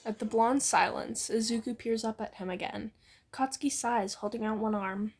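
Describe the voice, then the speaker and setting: A woman's voice: on the high side, steady tone, moderate pace, slightly rough. A young woman reading fan fiction aloud informally, with playful, slangy, teasing banter. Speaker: amateur fan fiction reader.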